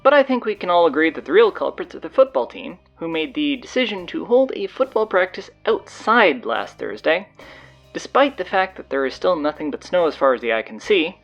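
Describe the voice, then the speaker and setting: Bossy teenage girl announcer played by a woman for audio drama: low register, swooping delivery, blunt sarcasm. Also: sing-song, brisk, highs cut off, faint music behind. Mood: brisk, sardonic. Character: bossy, sardonic teenage high school girl taking over announcements.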